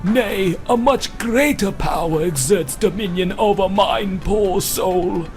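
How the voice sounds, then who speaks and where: A man's voice: high-pitched, slow pace, faint music behind. A man playing a grandiose, morbid wasteland courier in a video game, speaking in florid, archaic, ominous phrases. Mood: grandly ominous. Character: grandiose morbid wasteland courier who believes he is death's ferryman. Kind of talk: dramatic video game monologue.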